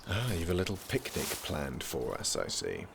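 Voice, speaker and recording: A male actor gives us a young prince of dry, formal wit in an audio drama. The voice is very low with a gravelly fry, delivered deadpan over faint background noise. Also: normal speed, heavily acted. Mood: dry, teasing. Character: dry, sardonic young prince, reluctant university student.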